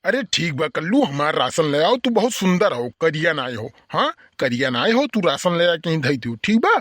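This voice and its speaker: A man's voice: on the high side, sing-song, normal speed, fairly bright tone, no echo, clean studio sound. A man voicing a grumpy, irritable old village uncle in an Awadhi comedy cartoon, scolding and complaining in an Awadhi accent. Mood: grudging, coaxing. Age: older.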